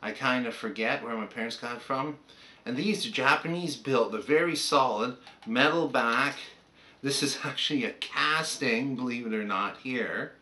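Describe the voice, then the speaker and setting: A man's voice: mid-range voice, moderate pace, pushed and bright. An older man with a Canadian accent, chatting casually and anecdotally as he reminisces like a hobbyist presenting on a vlog.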